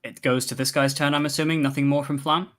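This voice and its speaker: A man's voice: fairly high pitch, level tone, fast, fairly bright tone. A man running a tabletop fantasy game as game master, talking casually and narrating to the players.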